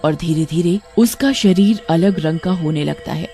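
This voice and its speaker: A woman giving scripted storytelling narration for a cartoon, in a mellow voice. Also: lowish voice, measured pace, faint music behind.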